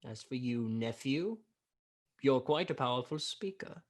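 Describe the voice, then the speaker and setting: An actor's grumpy old miser, scornful, theatrical, animated pitch swings, radio play. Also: measured pace. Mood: cold, dismissive.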